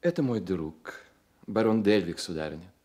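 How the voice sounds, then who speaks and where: An actor playing a proud, witty young poet in a radio drama, with measured, declamatory delivery. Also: male voice, low voice, expressive, dark tone. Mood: reflective. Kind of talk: Soviet radio drama acting.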